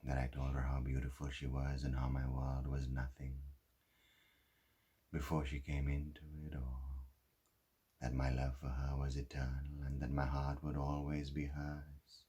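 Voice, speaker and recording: A very low-voiced husband weighed down by guilt, played by a man in a dramatic stage monologue. He confesses slowly and haltingly, leaving heavy pauses between phrases. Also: completely flat delivery, warm tone, slightly rough, heavily acted. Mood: regretful, subdued. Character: guilt-ridden husband who caused a fatal drunk-driving crash.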